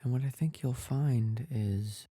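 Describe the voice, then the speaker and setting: A man leading a guided meditation in a soft, breathy, husky voice, calm and gentle, with long pauses. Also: medium pitch, no echo, clean studio sound.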